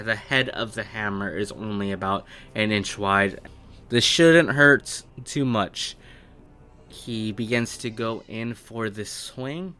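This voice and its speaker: A man with a bright, fairly deep voice narrates a tabletop roleplaying game. He takes his time, building scenes in halting phrases over soft background music. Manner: halting, piecing descriptions together phrase by phrase. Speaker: game master.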